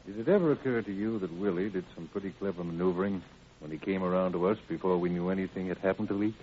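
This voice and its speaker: An actor playing a shrewd, measured county sheriff in an old-time radio crime drama. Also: male voice, low voice, natural voice. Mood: deliberate. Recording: tinny, noisy vintage radio recording.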